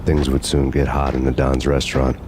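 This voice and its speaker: An actor playing a cynical, hardboiled ex-cop in a game, speaking deadpan with dry sarcastic wit. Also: male voice, rumbling low, fairly quick, noisy background. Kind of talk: noir game narration and dialogue. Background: music.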